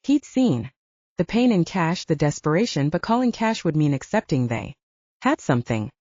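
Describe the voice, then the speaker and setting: A woman's voice: low voice, very animated, moderate pace, darkish tone, dry recording. A woman reading scripted fiction expressively as an audiobook narrator, in a dull, muffled recording.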